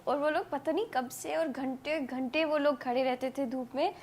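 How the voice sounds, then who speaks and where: Pakistani actress, quite high voice, telling stories casually in an interview. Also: female voice, steady tone, normal speed, darkish tone. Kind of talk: casual animated storytelling in a group interview.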